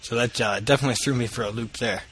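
A man's voice: medium pitch, steady tone, very fast, very noisy. A man hosting a podcast, reading a casual news roundup and interviewing a guest in an upbeat way. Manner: casual and upbeat, with easy laughs. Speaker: podcast host.

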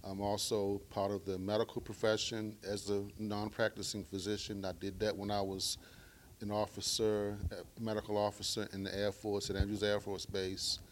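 A middle-aged man from Baltimore, Maryland, who stutters, speaking in a deliberate, measured way at a live talk. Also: fairly low pitch, completely flat delivery, a little hiss or hum. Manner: deliberate, measured speech using stuttering techniques. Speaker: speaker sharing his story.

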